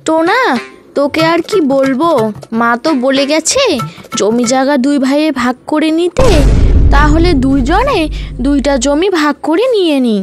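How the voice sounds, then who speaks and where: Cartoon elder brother bird played by a woman, self-serving, high-pitched, music behind. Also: expressive, moderate pace. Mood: insistent. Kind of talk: animated cartoon moral story voice acting.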